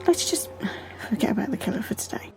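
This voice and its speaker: A woman's voice: fast, a little mellow, in a whisper, faint music behind, lightly acted, poor audio. A young woman playing a sensible, teasing college student in an amateur audio drama. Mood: cautious.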